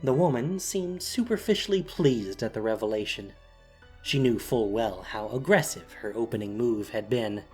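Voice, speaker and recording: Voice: medium pitch, lively intonation, normal speed, darkish tone, faint music behind. A narrator reading a scripted, dramatic story for an audio drama.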